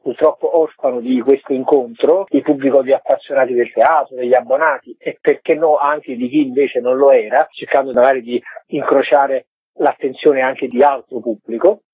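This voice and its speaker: A man being interviewed on the radio, explaining things conversationally and discursively, with a husky voice. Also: medium pitch, moderate pace. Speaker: interviewed film director. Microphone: telephone. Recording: tinny, narrow phone line with echo.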